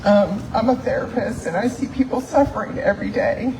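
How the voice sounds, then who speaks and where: A woman's voice: a little high, brisk. A woman who works as a therapist speaks earnestly and emotionally at an open mic. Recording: distant mic, roomy, background noise.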